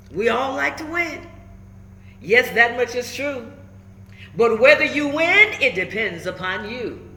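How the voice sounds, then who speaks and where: A woman reading a children's book aloud, emphatic and instructive, pausing between short phrases and adding encouraging asides. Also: fairly high pitch, bright, forceful tone. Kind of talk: animated storybook read-aloud with encouraging asides. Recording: echoey room with faint background noise.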